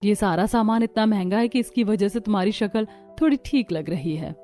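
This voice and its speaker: A woman's voice: mid-range voice, fairly quick, warm tone, lightly acted. A woman playing a spiteful, snobbish sister-in-law in a moral story, with a sneering manner. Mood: taunting. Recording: music under the voice.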